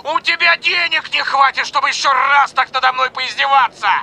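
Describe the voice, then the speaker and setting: A man's voice: animated, moderate pace, vocal fry, sharp tone, exaggerated voice. A man voicing a hysterical, bitter, foul-mouthed man at breaking point in a dramatized audiobook. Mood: hysterical rage. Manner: ranting, high-strung, swearing.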